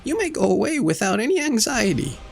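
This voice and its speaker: A man voicing seven timid little goat kids in a read-aloud storybook, with a high childlike pleading voice. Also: big pitch swings, a little fast, gravelly, soft background music, full character voice.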